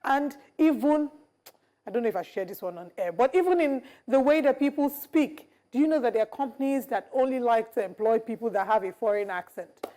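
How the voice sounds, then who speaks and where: A woman's voice: a little high, brisk, mellow. A woman co-hosting a morning talk show, giving opinions emphatically and stressing her key words. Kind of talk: casual studio chat.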